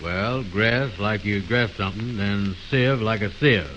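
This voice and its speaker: Low-voiced pompous know-it-all, old radio comedy. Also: male voice, a little slow, a bit bright. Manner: pompous, malapropisms, folksy ungrammatical talk. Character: blustering, know-it-all, muddle-headed man, Andy. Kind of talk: 1920s radio comedy acting. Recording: dull, hissy old radio recording.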